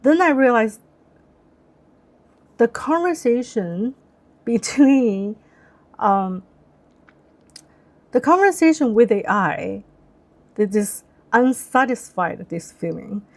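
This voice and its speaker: Soft-spoken woman, Chinese native speaker. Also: a little high, expressive, slow, long pauses. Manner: thoughtful, searching for words, frequent restarts. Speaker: livestream host. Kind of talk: casual live-stream chat.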